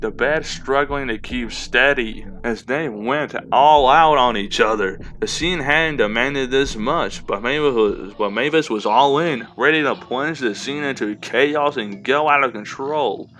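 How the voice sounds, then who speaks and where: Slow-reading man with a bright, forceful voice. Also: medium pitch, a little hiss or hum. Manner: stumbles and repeats phrases as he reads. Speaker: narrator. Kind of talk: amateur fan fiction reading aloud.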